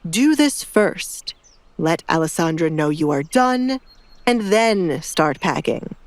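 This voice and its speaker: A woman's voice: fairly low pitch, sing-song, slow, no echo. A woman voicing a stern, mistrustful noble father who gives curt orders in an audio drama. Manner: curt, commanding.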